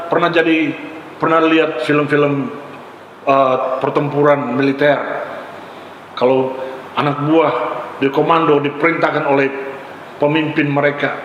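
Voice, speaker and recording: A man's voice: on the high side, fairly bright tone, dull audio. A male pastor from Ambon, Indonesia, preaching a sermon in short, emphatic, exhorting phrases with repetition. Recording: echoey hall with long reverb, faint noise.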